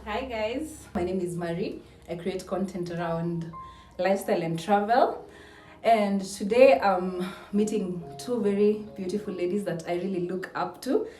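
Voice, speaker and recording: A woman's voice: mid-range voice, unhurried, mellow. A woman, chatting casually and leading a discussion in a house. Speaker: content creator posing questions. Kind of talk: casual chat. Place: indoors in a house. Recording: roomy echo, distant mic, faint music. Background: faint music.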